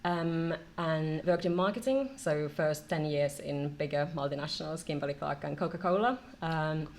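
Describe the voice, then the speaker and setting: A middle-aged woman from Finland answers interview questions in a low, measured voice, pausing on fillers as she gathers her thoughts. A faint noise sits under the recording. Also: darkish tone. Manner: measured, fillers between phrases. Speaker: marketing and e-commerce director, guest. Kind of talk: casual interview.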